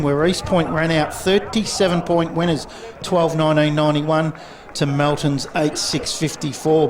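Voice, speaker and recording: A man with an Australian accent leading sports commentary, brisk and fluent as he reels off names, fixtures and scores. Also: on the high side. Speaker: lead commentator. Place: outdoor football ground. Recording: outdoor commentary with clear crowd.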